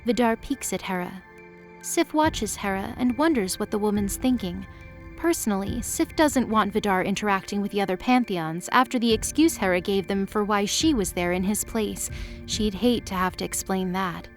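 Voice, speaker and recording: Female narrator, medium pitch, scripted storytelling, audio drama, faint music behind. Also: moderate pace. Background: background music.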